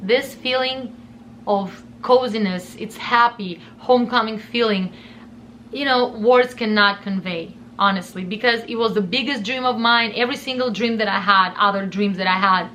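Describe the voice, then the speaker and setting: A woman's voice: medium pitch, moderate pace, bright, forceful tone, a little hiss or hum. A young woman from Russia with a Russian accent, telling a personal story in an earnest, emotional way and often repeating herself. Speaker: vlogger.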